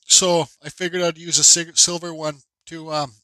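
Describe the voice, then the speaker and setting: A man's voice: fairly high pitch, flat delivery, moderate pace, sharp tone, smooth, dry recording, poor audio. A congested man casually narrating a carving tutorial at his workshop bench, rambling with fillers and restarts. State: stuffed up, congested. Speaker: woodcarving instructor.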